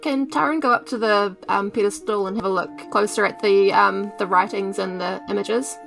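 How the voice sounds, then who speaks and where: A woman's voice: medium pitch, moderate pace, creaky, fairly bright tone, soft background music. A woman with a New Zealand accent playing a tabletop game, chatting casually and tentatively in character. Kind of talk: casual in-game roleplay chat.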